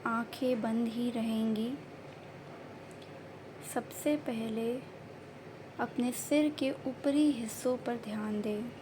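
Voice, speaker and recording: A woman guiding a meditation in a gentle, soothing manner, with short unhurried phrases of instruction. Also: on the high side, steady tone. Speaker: meditation guide. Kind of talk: guided meditation narration. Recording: faint background noise and ambience.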